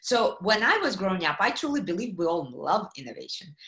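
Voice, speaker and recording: A woman's voice: mid-range voice, a little fast, reverberant. Woman from Kazakhstan presenting on a video call. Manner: lively, fillers, asks the audience questions. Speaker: guest speaker. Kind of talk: webinar presentation. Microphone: computer mic on video call.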